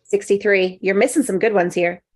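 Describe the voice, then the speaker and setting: A woman's voice: medium pitch, normal speed, darkish tone, no room sound, lightly acted. A woman playing a spirited, self-doubting woman in a comedy script read, in a natural conversational manner. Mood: playful. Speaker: actor in an online script table read. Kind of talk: live comedy screenplay table read.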